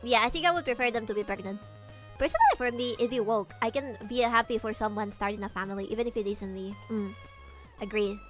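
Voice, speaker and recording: A woman's voice: fairly high pitch, fast, soft background music, low-quality recording. A young woman streaming a game, chatting casually and sarcastically with her chat, close on a desk microphone. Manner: chatty, sarcastic, reacts to chat and laughs often. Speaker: VTuber streamer.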